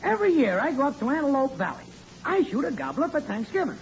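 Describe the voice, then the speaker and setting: Smug, very high creaky radio neighbor. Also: male voice, huge pitch swings, fast, full character voice. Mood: smug, bragging. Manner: bragging, mocking, folksy grammar. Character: smug, needling neighbor man, a rival father. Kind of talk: 1940s radio sitcom acting. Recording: muffled, noisy 1940s radio recording.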